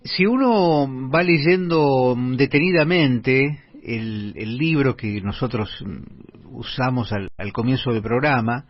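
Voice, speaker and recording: A man's voice: mid-range voice, takes it slowly. A man hosting a radio interview, introducing the guest and asking questions in a formal way. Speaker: radio host. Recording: dull lo-fi radio audio.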